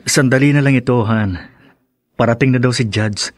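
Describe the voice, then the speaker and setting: A man's voice: medium pitch, moderate pace, no echo, close to an everyday voice. Earnest young husband, close dry sound, acted by a man. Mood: tender, coaxing. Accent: Filipino. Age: young adult. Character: apologetic, coaxing young husband, bound to his mother. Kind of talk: Filipino radio drama acting.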